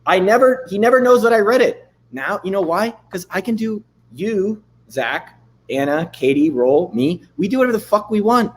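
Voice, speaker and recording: A man's voice: high-pitched, expressive, normal speed. Casual, rambling man hosting a live stream. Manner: loose and rambling, swears casually. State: losing his voice. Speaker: livestream host. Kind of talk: casual livestream chat with screen demos.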